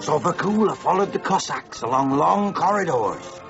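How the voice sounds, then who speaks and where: A man's voice: a little high, expressive, moderate pace. A man narrating a radio play in a storytelling manner. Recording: dull lo-fi radio recording, music behind.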